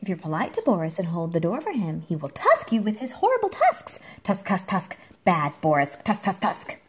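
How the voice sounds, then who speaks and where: Very lively woman reading a storybook aloud. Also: lowish voice. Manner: theatrical, dramatic emphasis and pauses. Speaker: parent reading aloud to a child. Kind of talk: expressive picture-book read-aloud. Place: indoor room at home. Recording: home recording with clear background noise, clicks.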